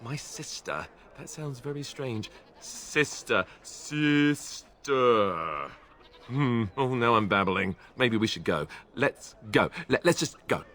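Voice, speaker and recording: A man's voice: medium pitch, animated, slow pace, fairly bright tone. A man playing an awkward, earnest young warrior in a video game, hesitant, self-deprecating and prone to babbling. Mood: nervous.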